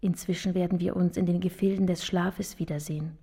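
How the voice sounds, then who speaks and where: An actress playing a gentle, sombre female android in an audio drama, soft and languid with a husky, creaky voice. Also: lowish voice, fairly even intonation, brisk. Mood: tender farewell. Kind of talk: literary audio drama acting.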